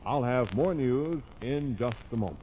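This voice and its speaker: A man's voice: medium pitch, expressive, normal speed, slightly rough. An American man reading scripted news as a 1950s radio newscaster. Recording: dull, noisy 1950s AM radio recording.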